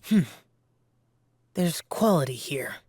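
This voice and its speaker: Game role: curt, reluctant fighter, low husky voice, unhurried delivery. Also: female voice, expressive, darkish tone, no echo, studio quality, heavily acted. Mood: aloof, dismissive. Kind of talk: video game character voice lines.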